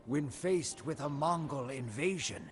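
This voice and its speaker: Devoted royal commander, game storybook narration, solemn, very varied intonation, unhurried delivery, music behind. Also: male voice, fairly high pitch, lively intonation. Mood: proud, solemn. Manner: solemn, dramatic, deliberate. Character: proud, devoted male commander of a royal guard. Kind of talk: scripted storybook narration in character.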